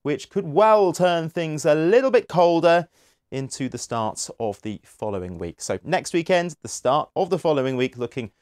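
A man in his forties presenting a scripted TV weather forecast from a studio on a lapel mic, with a measured, clear broadcast delivery. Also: a little high, expressive, darkish tone, dry recording. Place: studio in front of weather graphics.

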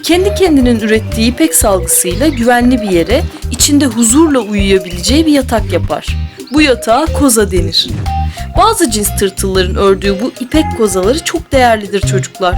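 High-voiced cartoon mother played by a woman. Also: expressive, brisk, close to an everyday voice. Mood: patient explaining. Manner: explains patiently and expressively. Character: gentle, patient mother telling children a nature story. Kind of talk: animated children's storytelling. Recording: music playing behind the voice.

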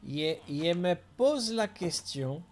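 A man's voice: high voice, lively intonation, slow pace. A middle-aged man chatting casually as he streams a building game, thinking aloud and chuckling often. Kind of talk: casual gameplay commentary.

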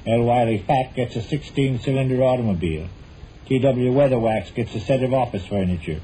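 An American actor playing a stubborn, middle-aged husband with a dry, mock-grandiose manner in a 1940s radio comedy. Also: male voice, medium pitch, fairly quick, dark tone, natural voice. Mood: mock-grand. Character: droll, stubborn, evasive husband in a domestic comedy. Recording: noisy old 1940s radio recording.

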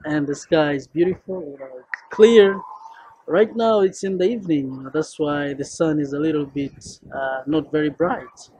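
A man's voice: on the high side, moderate pace, a little mellow, slightly rough, no echo, rough recording. A young man with a Kenyan accent, a friendly Swahili teacher introducing his course to camera on an outdoor rooftop, talking loosely with many fillers.

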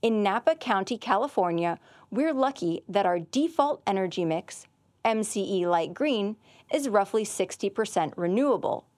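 A young woman, an assistant winemaker, giving clear and measured educational narration. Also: mid-range voice, animated, a little mellow, dry recording. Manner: clear, measured, informative delivery.

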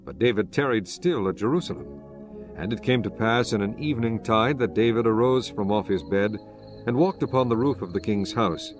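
Low-voiced solemn male scripture narrator. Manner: measured, solemn. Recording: music behind the voice.

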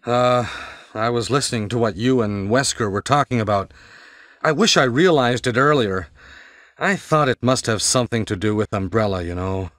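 An actor playing an apologetic, earnest police comrade in a video game, with stilted delivery. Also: male voice, mid-range voice, normal speed, fairly bright tone, dry recording, clean studio sound, natural voice. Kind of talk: video game cutscene acting.

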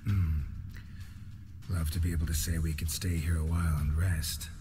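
A gruff veteran monster hunter from a fantasy game. His very low, gravelly murmur is terse, deadpan and suspicious. Music sits clearly behind him. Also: moderate pace. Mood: dry concern. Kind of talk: video game cutscene acting.

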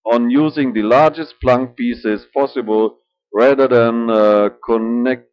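A middle-aged man with a German accent, speaking halting English at home with many filler sounds and restarts. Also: medium pitch, fairly even intonation. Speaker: model ship builder. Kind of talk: casual hobby vlog. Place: small room indoors. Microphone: webcam at desk. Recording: dull lo-fi webcam audio.

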